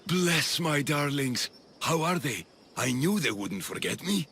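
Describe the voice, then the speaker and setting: An actor playing an eccentric, obsessive doctor in a video game, fussy and jittery, doting and often repeating himself. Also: male voice, on the high side, lively intonation, a little slow, vocal fry, pushed and bright, a little hiss or hum, full character voice. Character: eccentric obsessive male doctor doting on his human pets. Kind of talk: video game character acting.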